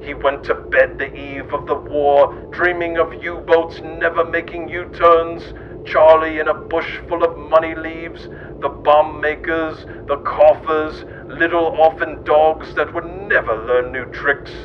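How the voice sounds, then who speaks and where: A man's voice: flat delivery, a little slow, few pauses. A man reading absurd, scripted mock-history narration. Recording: muffled lo-fi audio with loud rumbling noise.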